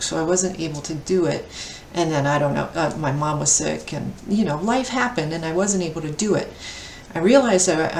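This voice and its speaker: A woman's voice: low-pitched, creaky. A woman aged fifty talking casually as a vlogger about her own running. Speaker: vlogger and amateur ultra runner. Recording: echoey, distant mic, faint noise.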